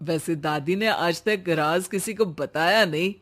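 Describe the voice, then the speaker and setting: Woman doing a grumbling, unhurried middle-aged mother-in-law. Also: fairly low pitch, fairly bright tone. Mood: teasing. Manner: querulous, challenging. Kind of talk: Hindi cartoon voice acting.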